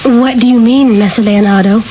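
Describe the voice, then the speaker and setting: An actress playing a demure, naive young merchant's wife in a 1970s radio drama, speaking simply, earnestly and hesitantly. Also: female voice, on the high side, fairly even intonation, normal speed, lightly acted. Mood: puzzled. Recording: dull old radio, faint hiss.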